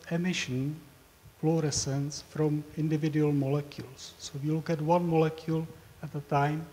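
Man, 50s, professor lecturing, halting delivery with lots of fillers, flat mellow voice, long hall reverb. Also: a little high. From Czech Republic. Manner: hesitant, with frequent fillers and repeated words. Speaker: professor giving a research talk. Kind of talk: academic presentation.

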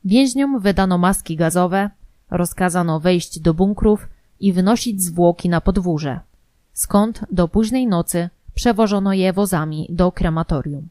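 A woman's voice: lowish voice, unhurried, mellow, no echo. A woman narrating a scripted historical true-crime audio programme.

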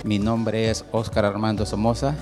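A middle-aged man with a lowish voice speaks haltingly, often restarting. He talks into a handheld mic indoors while music plays clearly behind him. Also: completely flat delivery. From El Salvador. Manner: halting, many fillers and restarts. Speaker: parent and teacher. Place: university event hall, indoors.

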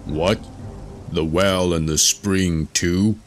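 A man voicing a huge, clownish and stupid male giant, speaking in a slow, ponderous growl. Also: low-pitched, steady tone, a little hiss or hum. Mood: uneasy.

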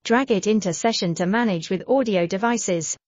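A woman reading a scripted software tutorial in a measured, instructional manner. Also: mid-range voice, no room sound, dull audio. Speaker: tutorial narrator.

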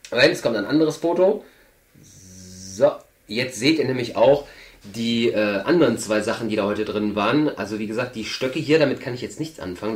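Congested young man, rambling in a roomy room. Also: medium pitch. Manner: rambling, hesitant, many fillers. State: stuffy nose, congested. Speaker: channel host. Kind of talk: casual chat while opening an advent calendar. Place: indoor room at a table. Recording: roomy, echoey room sound.

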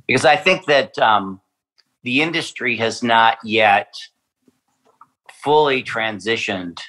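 A man's voice: medium pitch, slow pace, sharp tone, poor audio. A man from a winery speaking in a podcast interview, hesitant, with many fillers and restarts. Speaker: winery representative.

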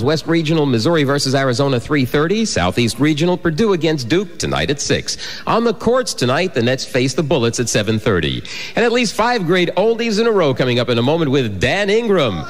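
An American man reading a scripted radio bulletin of news, sports and weather. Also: fairly high pitch. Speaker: radio newsreader. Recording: long reverb, 1990s FM radio broadcast.

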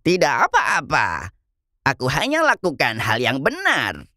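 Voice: mid-range voice, wildly swooping pitch, a little slow, pushed and bright, no room sound, studio quality, heavily acted. An actor playing a kindly, heroic old grandpa shark in a children's cartoon. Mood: stern, kindly. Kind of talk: children's cartoon character acting.

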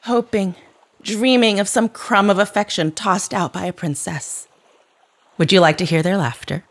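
An actress playing a composed, cutting, otherworldly woman in an audio drama, with measured and formal phrasing. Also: medium pitch, natural voice. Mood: cold taunting.

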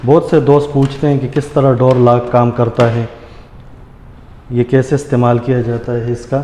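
A man's voice: mid-range voice, level tone, normal speed, warm tone. A man giving a casual hands-on tutorial, explaining step by step and addressing viewers as friends. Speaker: tutorial host. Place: indoors in a tiled room, hands at a granite counter. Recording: echoey hard-walled room, noisy.

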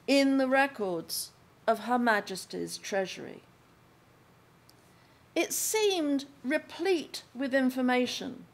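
An older woman from England reading a passage of her own book aloud at a lectern in a lecture room, in a deliberate, phrase-by-phrase delivery. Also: a little high. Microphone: lectern microphone.

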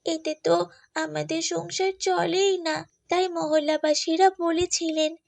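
A woman voicing a poor, gentle young girl in a moral cartoon, speaking politely, earnestly and plaintively. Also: very high-pitched, little pitch movement, takes it slowly, creaky, exaggerated voice. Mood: pleading. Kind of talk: Bengali moral cartoon voice acting.

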